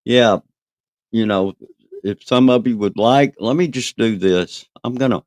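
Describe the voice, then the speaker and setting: A man's voice: medium pitch, a little slow, darkish tone, no room sound, clean studio sound. A man co-hosting a livestream, chatting casually and encouragingly with plenty of hesitant fillers. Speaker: livestream co-host.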